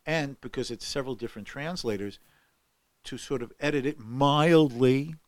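A man's voice: medium pitch, moderate pace, no room sound. An older man telling a long story on a podcast, with many fillers and restarts. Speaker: guest scholar. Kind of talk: podcast conversation.

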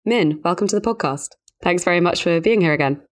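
A woman's voice: lowish voice, a little fast. A woman hosting a professional podcast, presenting and discussing as a journalist. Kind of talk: scripted podcast introduction and interview discussion.

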